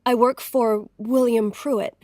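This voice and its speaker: A halting, timid young woman. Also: a little high, fairly even intonation, a little mellow, no echo, studio quality. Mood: nervous, hesitant. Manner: halting, deferential, polite. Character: timid young former beauty queen, deferential and earnest. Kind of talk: period audio drama acting.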